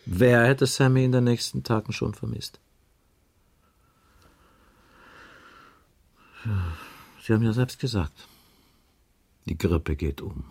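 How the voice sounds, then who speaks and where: Police inspector, radio crime drama, husky, animated intonation, blunt, sardonic, world-weary, deliberate. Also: male voice, mid-range voice. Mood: sombre. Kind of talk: 1980s German radio crime drama acting.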